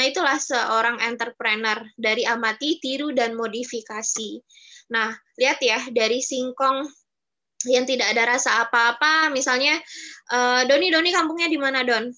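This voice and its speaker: A woman's voice: on the high side, moderate pace, pushed and bright, dull audio. A woman in her twenties teaching students in a casual, chatty way over a video call. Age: 20s. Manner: chatty, addresses students as younger siblings. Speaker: webinar speaker.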